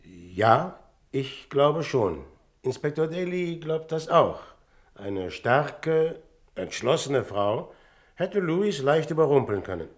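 An actor in a radio drama playing a polite, probing man with a measured, guarded manner. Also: fairly high pitch, big pitch swings. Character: polite, probing man investigating his brother's death, Mr. Bristol.

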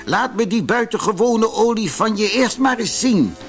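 Man acting an outraged, high-pitched storybook sultan. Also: animated, moderate pace, faint music behind. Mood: suspicious. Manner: indignant, commanding, exclamatory. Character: suspicious, hot-tempered sultan, grandly outraged. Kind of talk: dramatised fairy-tale audiobook.

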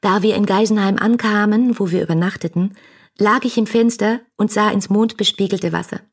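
A woman's voice: mid-range voice, normal speed, husky. An actress playing a passionate, devoted young woman speaking her letters in a radio drama, intimate and earnest, full of grief and tenderness. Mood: urgent recollection.

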